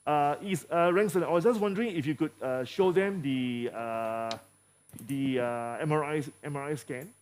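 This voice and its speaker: A male surgeon with a Malaysian English accent talks through a live procedure. His voice sits a little high. He speaks haltingly, with frequent fillers and small jokes. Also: a little mellow, clean tone. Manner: halting, many fillers, jokey asides. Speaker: surgeon leading a live demonstration. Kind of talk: clinical case presentation.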